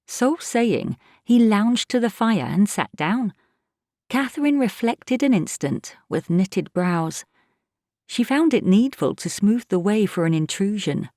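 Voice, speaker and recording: An actress playing an observant, plain-spoken housekeeper who tells a story in measured audiobook narration. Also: female voice, medium pitch, expressive, dark tone, no echo, clean studio sound, lightly acted.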